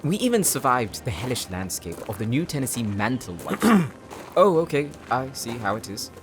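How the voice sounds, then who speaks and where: A man's voice: mid-range voice, big pitch swings, moderate pace, natural voice. A man playing a polite, articulate demon hunter who likes to show off in a fantasy audio drama. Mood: polite, eager. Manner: courteous, articulate, explanatory. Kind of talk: fantasy audio roleplay acting. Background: music.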